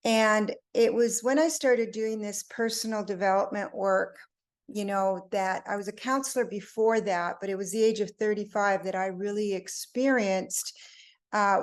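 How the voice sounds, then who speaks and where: An older woman from Belgium and Italy telling her personal faith story unscripted, in a reflective, halting way with emphatic pauses. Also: medium pitch, fairly even intonation. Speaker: group member giving a personal testimony.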